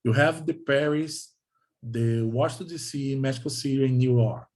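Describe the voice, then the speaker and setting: Brazilian man lecturing in halting English. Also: medium pitch, a little mellow. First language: Portuguese. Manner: halting, repeats and checks with "okay?". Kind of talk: technical lecture in non-native English.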